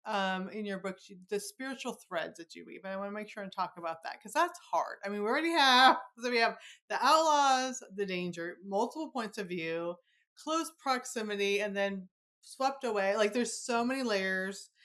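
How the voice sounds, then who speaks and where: A woman's voice: mid-range voice, lively intonation, normal speed, a bit bright. A woman hosting a casual, chatty interview in a gushing, informal, enthusiastic manner. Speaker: podcast host interviewing an author.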